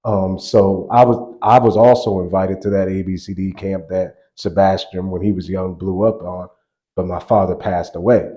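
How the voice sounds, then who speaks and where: A man in his forties, a basketball coach, telling stories in a relaxed interview, laughing often and circling back mid-sentence. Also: low-pitched, normal speed, highs cut off. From grew up on a US army base in Germany. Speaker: college basketball assistant coach, guest. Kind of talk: casual interview storytelling.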